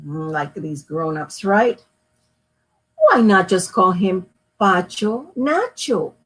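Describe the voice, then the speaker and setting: A woman voicing a small, eager boy who talks high, breathless and hurried. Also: big pitch swings, fairly bright tone, husky, full character voice. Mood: exasperated.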